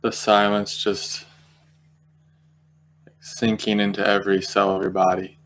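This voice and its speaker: A man leading an unscripted guided meditation, speaking gently and slowly, with long pauses as he feels his way through each thought. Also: on the low side, completely flat delivery.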